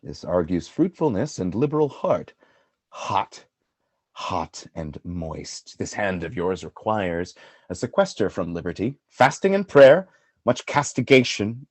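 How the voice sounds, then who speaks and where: An actor playing a proud, jealous general in a Shakespearean radio play, declaiming grand verse in a measured, volatile way. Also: male voice, fairly low pitch, no echo. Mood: brooding suspicion.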